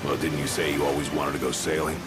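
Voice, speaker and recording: An actor playing a gruff, distrustful warrior companion in a video game, speaking bluntly and plainly. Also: male voice, very deep voice, flat delivery, fast. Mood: skeptical, blunt. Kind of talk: video game cutscene acting. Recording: voice over loud game music.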